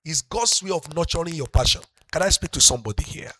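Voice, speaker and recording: A middle-aged Nigerian pastor with a Yoruba-speaking accent preaching a sermon, moving from soft talk up to loud, passionate shouting. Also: male voice, fairly high pitch, lively intonation, quick pace, husky.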